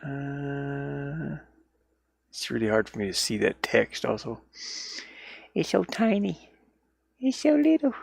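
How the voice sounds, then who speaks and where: Slow, gravelly man muttering to himself. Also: medium pitch, big pitch swings, no room sound. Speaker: livestreamer and indie game developer. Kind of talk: casual solo livestream chat, thinking aloud while working. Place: inside a van.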